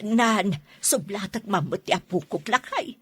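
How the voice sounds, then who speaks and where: A woman acts a fussy but doting Ilocano grandmother in a radio drama. Her older voice is husky and lively, rising and falling expressively as she chides and dotes. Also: medium pitch, unhurried, heavily acted.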